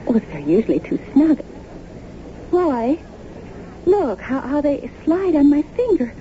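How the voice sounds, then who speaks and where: A woman's voice: high-pitched, big pitch swings, slow pace, dark tone, exaggerated voice. A woman acting in a radio drama as an anxious, bewildered young wife, speaking hesitantly and uneasily. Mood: startled, puzzled. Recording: tinny, narrow-band, noisy old radio broadcast.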